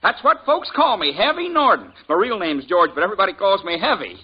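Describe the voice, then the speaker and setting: An actor playing a jovial, talkative frontier blacksmith with a folksy drawl in a 1950s radio drama. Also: male voice, sits high, big pitch swings, brisk, husky, heavily acted. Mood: chatty. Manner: folksy drawl, chuckling, nosy. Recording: dull old radio broadcast.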